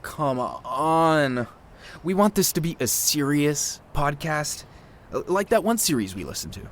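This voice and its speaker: A man's voice: a little high, big pitch swings, a little slow. A man playing a fussy, exasperated podcast sound technician in naturalistic audio drama dialogue, informal and nagging.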